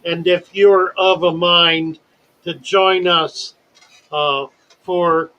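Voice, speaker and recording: An older man hosting a casual livestream chat, talking in a slow, wordy and deliberate way and telling stories. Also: high-pitched, steady tone, pushed and bright, lo-fi sound. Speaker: livestream host.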